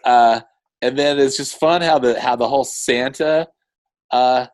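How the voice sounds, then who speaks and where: A man co-hosting a casual film discussion podcast, earnest and halting, often rephrasing mid-thought. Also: medium pitch, steady tone, dry recording. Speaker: podcast co-host reviewing animated films.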